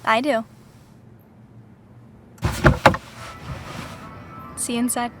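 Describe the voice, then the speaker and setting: Woman playing an earnest, high-voiced grieving young woman. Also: flat delivery, fast, over music, close to an everyday voice. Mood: relieved, teasing. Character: grieving young woman, candid, insistent, doubting her father's death. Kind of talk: naturalistic video game acting.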